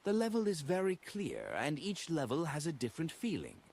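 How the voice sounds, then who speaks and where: A man's voice: on the high side, huge pitch swings, moderate pace, vocal fry, a little mellow, a little hiss or hum, voice pushed up, heavily acted. A man voicing a languid, knowing young woman magician in an audiobook. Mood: pleased, knowing.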